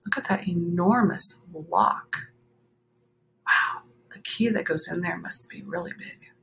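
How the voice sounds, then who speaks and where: A woman reading a children's picture book aloud expressively, with dramatic drawn-out phrasing and chatty asides. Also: fairly low pitch, vocal fry, a bit bright, poor audio. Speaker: children's story reader.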